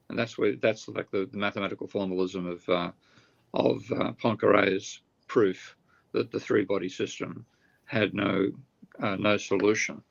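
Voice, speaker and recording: A weary, gravelly man with a low-set voice talks through ideas on a video call, stopping and restarting as he thinks aloud. The call audio is dull and lo-fi. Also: darkish tone. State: tired, voice getting croaky. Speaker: guest economist. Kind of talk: explaining ideas in a live online discussion.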